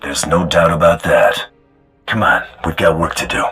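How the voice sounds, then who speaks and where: An actor playing a tough, laconic bounty hunter with a gravelly, hard-edged voice in a video game. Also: male voice, very deep voice, moderate pace, sharp tone, faint music behind, heavily acted. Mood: gruff, resolute. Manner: terse, cool. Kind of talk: video game cutscene acting.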